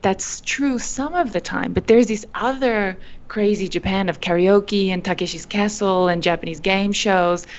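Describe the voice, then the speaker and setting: A young woman from Australia answering interview questions, animated, with frequent fillers and restarts. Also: medium pitch, dull audio. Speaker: guest, company founder. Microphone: video call.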